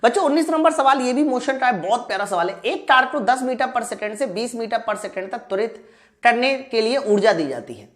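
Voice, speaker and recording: A high-voiced woman teaches an exam class. She works through problems step by step, talking informally to her students. She often poses a question, then answers it herself and repeats key lines. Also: expressive, moderate pace. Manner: informal, addresses students directly. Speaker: physics teacher for exam preparation. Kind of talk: live online class, explaining problems step by step.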